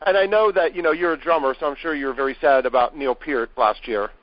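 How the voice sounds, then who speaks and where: High-voiced man telling stories casually. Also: quick pace, dry recording. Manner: storytelling, animated, swears casually. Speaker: podcast host. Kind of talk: casual chat. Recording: dry, dull lo-fi sound.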